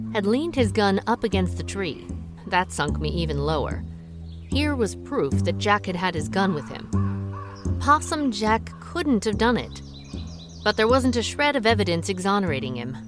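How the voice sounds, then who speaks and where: An actress playing a worried amateur detective who narrates her own story in a radio drama. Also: fairly low pitch. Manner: earnest, wry first-person narration. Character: worried amateur detective woman, diner owner.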